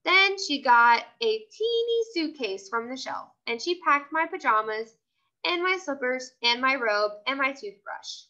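High, bright-voiced woman reading aloud. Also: unhurried, highs cut off. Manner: expressive, instructional, checking in with listeners. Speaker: teacher reading aloud to a class. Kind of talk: read-aloud of a children's chapter book.

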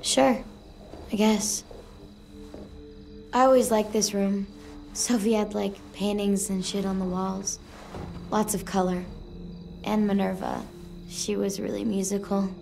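Casting: awkward tough teen girl survivor, mid-pitched, hesitant and casual, game drama with faint music. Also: steady tone, measured pace, vocal fry, pauses often, darkish tone. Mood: wistful. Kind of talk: video game drama dialogue.

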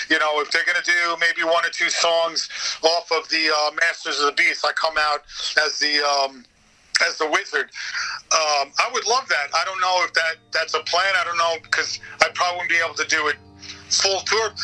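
Guy with a high, really sharp bright voice, chatty podcast guest, animated. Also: normal speed, low-quality recording. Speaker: guest, voice actor. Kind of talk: casual podcast chat.

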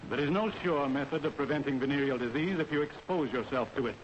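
A man with an American accent lecturing in a formal, authoritative way as an army medical officer in a 1940s training film. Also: mid-range voice. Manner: formal, authoritative, deliberate instruction. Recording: dull, noisy 1940s film soundtrack.

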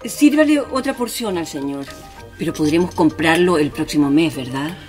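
An actress playing a shopkeeper's fretful, affectionate wife in a radio drama, with a questioning, expressive way of talking. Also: female voice, deep voice, normal speed, soft background music. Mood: expectant.

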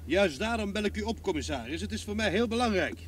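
An actor playing a self-assured, courteous private detective in a radio play, polite and persistent. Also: male voice, sits high, expressive, fast talker. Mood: polite, insistent. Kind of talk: Dutch-language radio play acting. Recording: noisy old radio drama recording.

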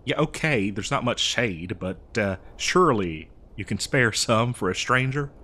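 A man's voice: lowish voice, expressive, unhurried, bright, forceful tone, husky, heavily acted. Guy doing a folksy old west drifter, exasperated n rambly, voice swings up and down, comedy audio roleplay. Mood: coaxing, defensive. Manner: folksy, rambling, hesitant improvised delivery. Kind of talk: improvised comedic audio roleplay addressed to the listener.

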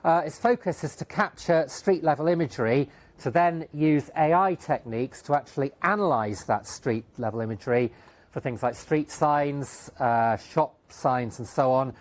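A middle-aged man talks through his slides in a lecture hall. His delivery is halting, with frequent fillers and self-corrections, and his voice sits at an ordinary middle pitch. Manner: hesitant, many fillers and restarts. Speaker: conference speaker. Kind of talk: technical conference talk with slides.

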